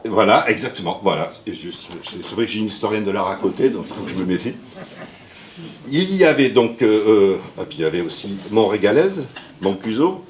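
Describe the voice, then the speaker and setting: A man's voice: lowish voice, expressive, a little fast, slight background noise. A man giving a rambling, hesitant speech to an audience at a book launch. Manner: rambling, hesitant, with jokes and praise. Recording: roomy hall with faint ambience.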